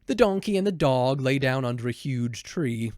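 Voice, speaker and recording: A man's voice: medium pitch, animated, normal speed, darkish tone, no room sound, clean studio sound. A man narrating a children's storybook as a voiceover performer.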